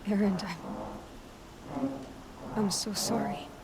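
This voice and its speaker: An actress playing an earnest, sympathetic and practical young huntress in a video game. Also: female voice, mid-range voice, fairly even intonation, takes it slowly, a crowd in the background, low-quality recording. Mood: soft sympathy. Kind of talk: dramatic video game acting.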